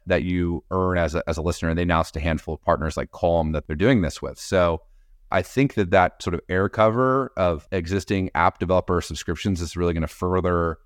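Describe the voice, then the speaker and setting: Very deep-voiced man, measured business talk. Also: dry recording, clean studio sound. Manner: measured, businesslike, with frequent "you know" fillers. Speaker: podcast company executive, guest. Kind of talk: interview answers.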